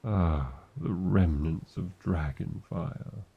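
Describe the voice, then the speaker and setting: A man's voice: deep voice, slow, creaky, slightly rough, narrow, tinny audio, full character voice. A man with a British accent voicing a huge, proud, protective dragon lover in a fantasy ASMR roleplay, speaking in formal, theatrical phrases with tender endearments and wry disdain.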